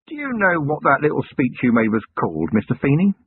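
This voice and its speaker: A man voicing a weary, gruff middle-aged police commander with a dry, sardonic manner in an audiobook. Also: mid-range voice, very animated, a little fast, pitched above the performer's own voice. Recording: tinny lo-fi narration recording.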